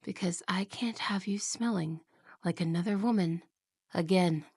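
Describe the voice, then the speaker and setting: A jealous, possessive young wife, played by a woman in an ASMR roleplay, purring her words very slowly with a gravelly, creaky edge. She slips into hushed whispers and sounds sweet, yet quietly threatening, close to a dry, dead microphone. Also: on the low side, no room sound. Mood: sweetly possessive. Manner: soft, slow, whispery, with giggles.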